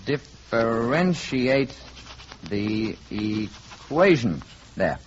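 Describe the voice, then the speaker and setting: A learned, quietly self-assured visitor from space in a 1950s radio play. He speaks in a measured, courteous way at a medium pitch. The old recording is dull, with faint noise behind. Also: sing-song. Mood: quietly confident. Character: calm, learned spaceman posing as an ordinary man. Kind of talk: 1950s radio drama acting.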